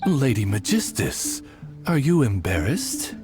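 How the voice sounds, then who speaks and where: Stately, slow-spoken raven retainer. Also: male voice, medium pitch, sing-song, over music. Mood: dryly courteous. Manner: stately, deferential, formal. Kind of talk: video game voice acting.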